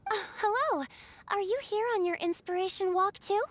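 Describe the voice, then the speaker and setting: A woman's voice: very high-pitched, moderate pace, no echo. A woman voicing a friendly, easygoing young female traveler in a video game.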